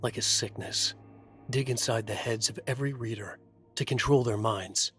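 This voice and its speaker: A man's voice: medium pitch, normal speed, a bit bright, lightly acted. Haunted writer played by a male actor, terse noir game narration, brooding and dramatic, faint music underneath. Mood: bleak, intense.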